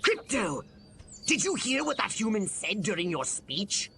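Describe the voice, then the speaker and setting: A man's voice: sits high, sing-song, a little slow, gravelly, pushed and bright, a little hiss or hum, exaggerated voice. A man playing a scheming, impatient alien commander in a video game, imperious and theatrical in manner. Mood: exasperated.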